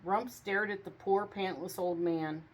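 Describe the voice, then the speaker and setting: A woman reading a storybook aloud in an expressive storytelling style, with casual asides. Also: medium pitch, measured pace, rough recording. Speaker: storybook reader.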